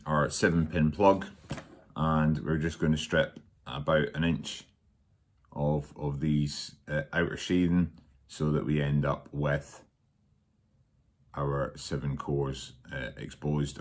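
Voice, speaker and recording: A man with an extremely low voice talks through a DIY job at home. His delivery is informal and matter-of-fact, with a halting, hesitant way of putting sentences together. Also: a little hiss or hum. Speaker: DIY workshop presenter. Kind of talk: informal hands-on electronics tutorial. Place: indoors at a dining table at home.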